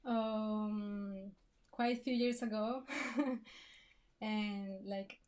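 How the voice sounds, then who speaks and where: A woman's voice: medium pitch, steady tone, very slow, a little mellow. Hesitant woman guest from Colombia. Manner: hesitant, short replies. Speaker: guest, artist and tattooist. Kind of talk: casual interview answers.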